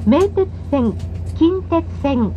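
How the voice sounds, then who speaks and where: High, slow female train announcer, dull PA. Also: expressive. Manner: polite, measured, formal. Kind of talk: pre-recorded station arrival announcement. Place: played inside a train car. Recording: dull train PA, faint running noise. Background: faint train noise.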